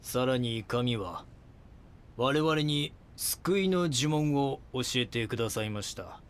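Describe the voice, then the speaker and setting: An actor playing a messianic cult leader in an audio drama, speaking in solemn, pompous, preacher-like pronouncements. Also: male voice, mid-range voice, lively intonation, takes it slowly, clean tone. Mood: solemn, prophetic.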